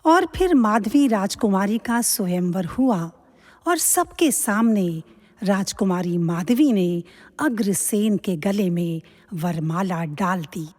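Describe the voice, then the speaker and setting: A woman narrating a mythological tale as scripted dramatic storytelling, expressive and deliberate. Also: medium pitch. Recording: long reverberant hall echo.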